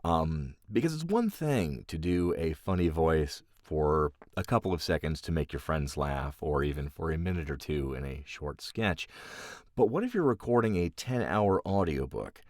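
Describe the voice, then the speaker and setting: Very low-voiced man, close and dry. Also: sing-song, moderate pace, darkish tone, no echo. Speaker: voice actor and audiobook narrator. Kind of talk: casual explanatory talk to camera.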